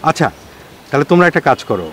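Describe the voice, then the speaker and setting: A man's voice: on the high side, sing-song, very fast, fairly bright tone. A man playing an approving boss giving instructions in a TV drama. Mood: encouraging. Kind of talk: Bangla TV drama acting.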